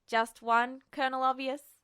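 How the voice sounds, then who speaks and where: A woman's voice: sits high, flat delivery, moderate pace, fairly bright tone, clear, resonant tone. A woman voicing a cheeky, impatient young male alchemist in an audiobook, with a pointed, sarcastic manner. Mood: smirking sarcasm.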